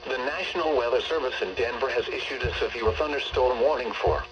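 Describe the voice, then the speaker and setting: A synthetic male text-to-speech voice reading scripted emergency weather bulletins over the radio in a formal, measured way. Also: mid-range voice, highs cut off, background noise. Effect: weather radio broadcast. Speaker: automated weather radio announcer.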